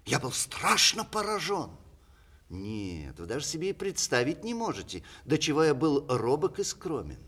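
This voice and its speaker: An actor in a 1960s Russian radio play, playing a witty, vain playwright with ironic, declamatory, precise diction. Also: male voice, on the high side, wildly swooping pitch, moderate pace, a bit bright, breathy edge, heavily acted. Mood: self-mocking, reflective. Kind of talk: 1960s Soviet radio theatre acting.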